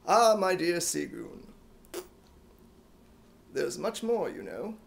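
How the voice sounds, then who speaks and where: A man voicing a suave, sly gentleman blackmailer with a mock-courteous manner and a put-on British accent, in radio comedy style. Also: on the high side, sing-song, takes it slowly, vocal fry, exaggerated voice. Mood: dry, suave. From United States. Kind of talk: 1950s radio comedy acting.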